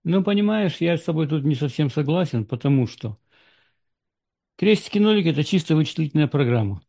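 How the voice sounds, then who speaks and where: A man hosting a conversation, asking calm, probing questions. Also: a little high, brisk, creaky, no echo, studio quality. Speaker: interviewer, host. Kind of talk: casual conversation.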